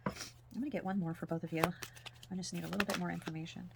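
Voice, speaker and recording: Husky hoarse woman reading tarot. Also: lowish voice, steady tone, racing, very noisy. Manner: soft-spoken, drifts into whispers at times. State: hoarse, losing her voice. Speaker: tarot reader. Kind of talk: spiritual reading, casual monologue.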